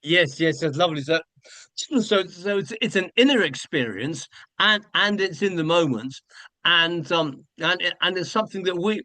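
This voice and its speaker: A man's voice: high voice, lively intonation, normal speed, bright, forceful tone, dry recording. A man hosting a podcast interview, asking questions hesitantly and restarting often. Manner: hesitant, many fillers and restarts. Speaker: podcast host. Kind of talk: conversational interview.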